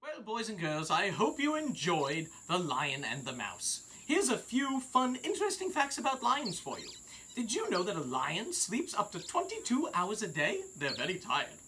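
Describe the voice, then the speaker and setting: A fussy, theatrical children's storytime host, played by a middle-aged man, speaks in a high, bright voice that swings wildly in pitch. The delivery is mock-genteel and dramatic, over some background noise. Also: wildly swooping pitch, moderate pace, exaggerated voice. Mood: genteel, playful. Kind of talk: comic presenting to children.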